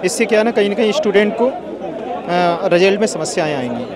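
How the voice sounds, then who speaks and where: A high-voiced man speaks quickly and emphatically. A crowd is clearly audible around him. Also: lively intonation. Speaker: student protester. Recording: crowd noise outdoors.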